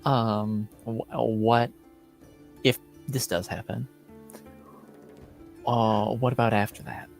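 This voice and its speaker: A man running a tabletop roleplaying game as game master, narrating in a halting way as he searches for words. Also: medium pitch, level tone, creaky, faint music behind.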